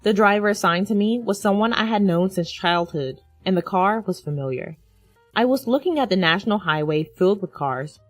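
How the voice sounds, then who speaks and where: A woman's voice: on the low side, no room sound. A woman reading a scripted first-person dramatic story as its narrator.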